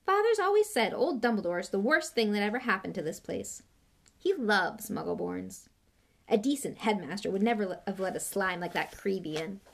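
A woman's voice: medium pitch, animated, moderate pace, gravelly. A woman voicing a spoiled, arrogant schoolboy bully in an audiobook, scornful, impatient and boastful. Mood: thoughtful, disdainful. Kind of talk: audiobook character voice.

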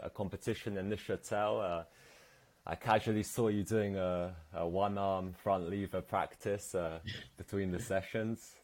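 A man's voice: lowish voice, darkish tone. A man hosting a podcast, asking questions in an easygoing, hesitant way with many fillers. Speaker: podcast host. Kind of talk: casual interview.

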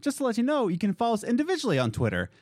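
A male podcast co-host in casual chat who shifts between a low relaxed voice and loud, high bursts when excited. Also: racing, dry recording, clean studio sound. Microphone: studio podcast mic.

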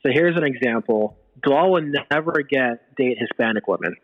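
A man asking the questions on a casual podcast, calm and laid-back. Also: medium pitch, a little fast. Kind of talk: casual group chat. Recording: dull lo-fi sound.

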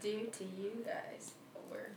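A young adult woman hosting a podcast, easygoing and quick to laugh in casual chat. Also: mid-range voice, flat delivery, darkish tone. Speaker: podcast host. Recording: roomy echo with faint noise.

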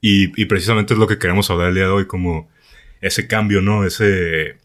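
A young Mexican man with a Mexican accent, hosting a casual podcast in a relaxed, slangy way with lots of fillers. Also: low voice, normal speed. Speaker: podcast host.

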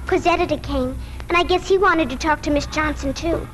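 A woman's voice: high voice, fairly quick, natural voice. A female performer playing an eager, earnest and polite young farm girl in 1940s radio acting style. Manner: earnest, childlike exclamations. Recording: faintly noisy vintage radio recording.

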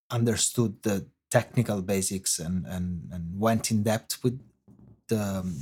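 A man with an Italian accent and Italian as his first language, a guest on a podcast interview, answering in halting English as he searches for words. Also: lowish voice, a little mellow, slightly rough. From Italy. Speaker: guest composer.

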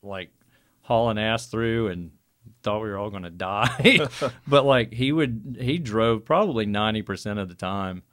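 A man's voice: lowish voice, lively intonation, unhurried, a bit bright. A man with a Southern American accent, a band musician telling tour stories in a blunt, sweary, casual way. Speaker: touring band musician being interviewed. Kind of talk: casual chat, storytelling.

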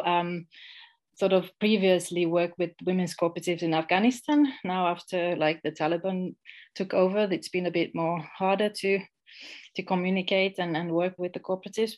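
Low-voiced woman, halting. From Finland. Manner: halting, restarts often, many fillers. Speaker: guest, social enterprise founder. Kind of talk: casual interview talk.

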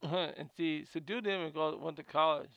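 A man's voice: a little high, fairly even intonation, moderate pace, gravelly, a bit bright, no room sound. A man hosting a casual video, reading from notes about a guest and often stumbling and repeating words.

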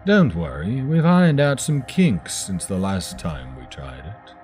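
A cold male experimenter drawls every word with unhurried smugness, put on by a man. His voice sits at a middling pitch but rises and falls widely. A faint bed of music runs behind him. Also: big pitch swings, a little mellow. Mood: calm. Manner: slow, drawn-out, smug. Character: calm cruel male experimenter, adult.

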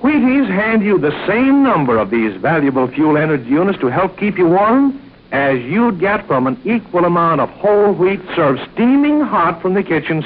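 A male announcer from 1940s radio delivers a commercial on a dull old recording. His voice sits high and moves in big, lively swings. He talks straight to young listeners with an emphatic salesman's push. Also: a little hiss or hum.

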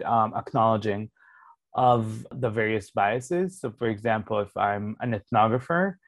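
Man, measured academic explainer. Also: mid-range voice, no echo. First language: Hebrew. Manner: measured, explanatory, thinks aloud. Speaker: sociologist guest. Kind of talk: academic interview answer.

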